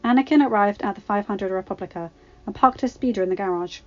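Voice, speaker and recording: A woman reading scripted fiction aloud as an expressive story narrator. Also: mid-range voice, fast talker, dull audio. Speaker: podfic narrator.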